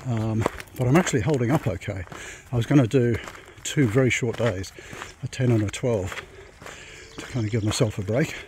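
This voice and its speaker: A man's voice: medium pitch, normal speed. Man thinking aloud on a walk outdoors. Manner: rambling, thinking aloud with restarts. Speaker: vlogger walking a pilgrimage route. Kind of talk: casual walking vlog. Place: outdoors on a rural dirt track. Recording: noisy outdoor walking recording. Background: rural outdoor noise.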